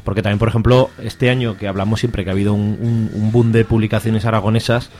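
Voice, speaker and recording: A man's voice: on the low side, flat delivery, fast talker, darkish tone. A talkative man with an accent from Spain, chatting casually on the radio about his hobby in a colloquial, jokey way. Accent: Spanish from Spain. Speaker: radio show contributor reviewing comics and fanzines.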